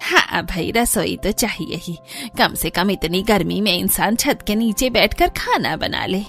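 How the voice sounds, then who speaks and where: A woman's voice: mid-range voice, animated, a little slow, gravelly, fairly bright tone, soft background music. A woman voicing a chatty, nosy but sympathetic village neighbour in a cartoon. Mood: concerned.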